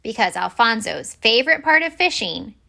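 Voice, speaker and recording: A woman reading a children's picture book aloud as expressive story-time narration. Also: on the high side, moderate pace, gravelly.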